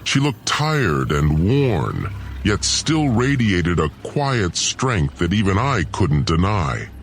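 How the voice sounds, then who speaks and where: A man's voice: on the low side, a little hiss or hum, heavily acted. A man voicing an arrogant, scheming alien prince, pompous and sneering, narrating his own audio drama. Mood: scornful, gloating.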